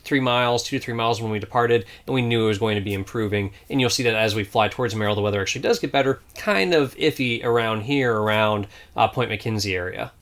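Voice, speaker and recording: Guy explaining flying like an instructor, talks quick, bright forceful voice. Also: on the low side. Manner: informal, instructive. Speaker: flight instructor. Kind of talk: explanatory video commentary.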